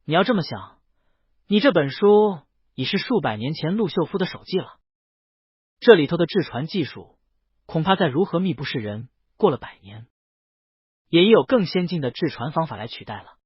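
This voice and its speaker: Man playing a shrewd, worldly auction lord, lively pitch. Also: normal speed, husky, dry recording, lo-fi sound, full character voice. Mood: assured, explanatory. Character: Fang Zijing, worldly male auction-house lord, shrewd and teasing.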